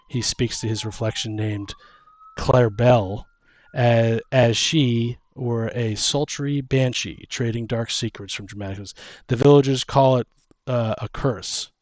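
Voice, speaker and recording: A man co-hosting a casual tabletop gaming podcast, talking and reading aloud in a halting way, stumbling over words. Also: medium pitch, a little mellow. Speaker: podcast co-host.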